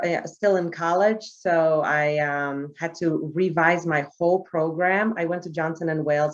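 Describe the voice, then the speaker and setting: A woman gives an online presentation. Her voice sits low, and her talk is chatty and full of fillers and restarts. The video call audio sounds muffled and lo-fi. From United States. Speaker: travel sales trainer. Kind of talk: webinar presentation.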